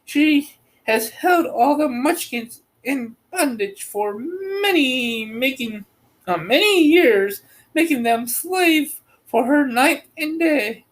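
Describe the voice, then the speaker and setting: A woman's voice: high-pitched, lively intonation, slow, voice pushed up. A woman voicing a kindly, grateful little old good witch in a storybook read-aloud. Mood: grateful, earnest.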